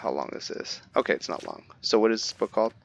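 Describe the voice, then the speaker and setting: A man's voice: on the low side, animated, fast. A man making offhand, casual asides to viewers during a game roleplay. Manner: offhand, with fillers. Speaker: roleplay streamer.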